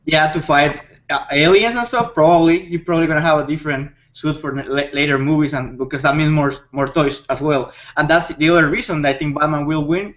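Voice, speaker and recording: A man's voice: on the high side, a little fast, hardly any pauses, a bit bright. A man in a casual group discussion, laying out his opinion point by point. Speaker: discussion panelist. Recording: dull lo-fi audio.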